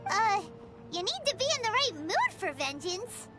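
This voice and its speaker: Tiny chirpy companion sprite, very high, bouncy, cheeky, game music behind. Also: female voice, wildly swooping pitch, moderate pace, heavily acted. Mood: chatty. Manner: talks of herself in third person, blunt and cheeky. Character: tiny floating female companion, chatty and excitable.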